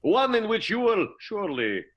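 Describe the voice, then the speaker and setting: Dull-sounding, formal, halting man , acted by a man. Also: high voice, big pitch swings. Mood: courteous, negotiating. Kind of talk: improvised radio soap acting.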